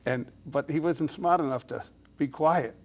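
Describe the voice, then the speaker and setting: Creaky man, slightly higher-pitched. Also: moderate pace, darkish tone. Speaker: talk show host. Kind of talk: casual interview chat.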